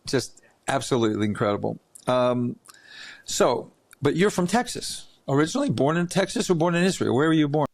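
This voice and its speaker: A man's voice: on the high side, very animated, a little fast. A man hosting an interview podcast, telling rambling stories in a chatty way with many restarts. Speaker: podcast host. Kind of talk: casual interview chat.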